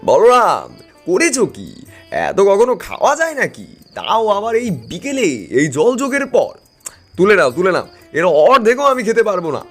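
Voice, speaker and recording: A man's voice: high voice, wildly swooping pitch, measured pace, soft background music, pitched above the performer's own voice, exaggerated voice. A male actor voicing a commanding yet kindly tantric in an audio drama, emphatic and authoritative. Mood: astonished, protesting.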